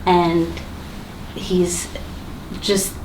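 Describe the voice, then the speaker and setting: A woman's voice: on the low side, flat delivery, drawn-out words, noisy background. A woman chatting casually in an interview, with lots of likes and ums. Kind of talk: personal interview. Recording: roomy sound from a distance.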